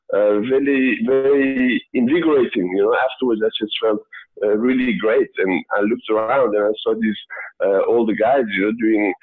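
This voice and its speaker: A man in his thirties who grew up in Sweden, talking casually as a guest, halting with many fillers and restarts. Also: mid-range voice, husky. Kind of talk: casual interview talk. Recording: tinny phone-like line.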